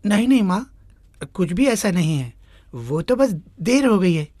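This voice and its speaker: A woman voicing an anxious, caring male motorist in an animated moral story. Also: mid-range voice, unhurried, no echo, studio quality. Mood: nervous. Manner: urgent, caring.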